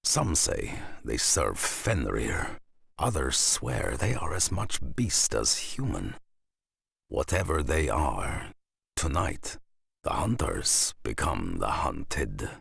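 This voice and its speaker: An actor playing a grave saga storyteller in a game, narrating slowly and ominously in a gravelly, husky voice. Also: male voice, a bass voice, sing-song, a bit bright, no room sound, clean studio sound, exaggerated voice. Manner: slow, ominous, deliberate. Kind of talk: dramatic scripted storytelling narration.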